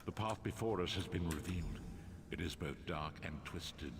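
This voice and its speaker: An actor playing a solemn male prophet in a video game, speaking gravely. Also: sits low, normal speed, noisy background, lightly acted. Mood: ominous. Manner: grave, portentous.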